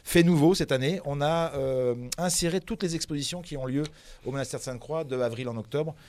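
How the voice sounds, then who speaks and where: A man's voice: fairly high pitch, fairly quick, darkish tone. Hesitant man, French not his first language. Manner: hesitant, many fillers and restarts. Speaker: guest presenting a cultural program. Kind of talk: radio interview.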